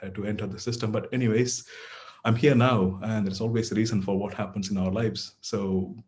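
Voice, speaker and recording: A man's voice: on the low side, steady tone, fairly quick, darkish tone. A middle-aged man whose first language is Tamil, a neurosurgeon giving an online lecture to medical students in a conversational way, with many fillers and restarts.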